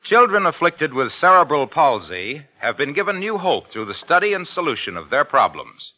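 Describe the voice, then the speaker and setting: Very bright, forceful vintage radio announcer man. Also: fairly high pitch, very animated, moderate pace. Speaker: radio commercial and credits announcer. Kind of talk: 1950s scripted radio announcing. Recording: muffled old radio recording, music behind.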